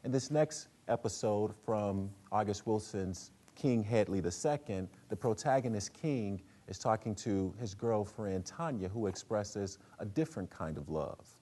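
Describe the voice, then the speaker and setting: A man giving a prepared literary talk that introduces dramatic readings, with measured, halting phrasing. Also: fairly low pitch, expressive, mellow. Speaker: speaker, literary presenter.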